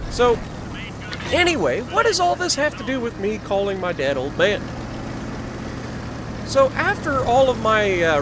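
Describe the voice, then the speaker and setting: A man's voice: a little high, very noisy. A young American man casually telling a story over tank game play in short, emphatic phrases, as host of a gaming video.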